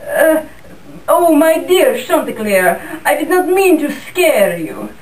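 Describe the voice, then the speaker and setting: A young woman voicing a cunning, smooth-talking male fox in a class puppet show, speaking in a flattering, honeyed way. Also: high-pitched, takes it slowly, heavily acted. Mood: coaxing. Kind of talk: school puppet show acting. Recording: roomy sound from a distant mic.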